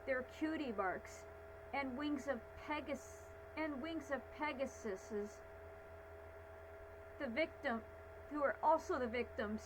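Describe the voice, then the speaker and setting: A woman giving live reaction commentary, halting and repeating phrases in short fragments. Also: high voice. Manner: halting, echoing phrases she has just heard. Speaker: reaction video host. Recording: noisy, humming home recording.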